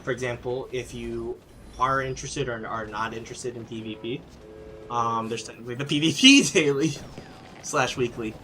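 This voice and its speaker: A man's voice: mid-range voice, steady tone, moderate pace, bright, forceful tone, slight background noise. A man streaming a game, answering chat questions casually with plenty of fillers and restarts. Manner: rambling, many fillers and restarts.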